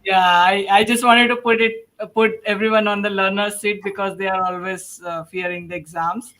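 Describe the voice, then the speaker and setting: A woman hosting an informal panel, speaking in a halting way and often breaking toward a laugh. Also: medium pitch, steady tone, fairly bright tone. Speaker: podcast host and panel moderator.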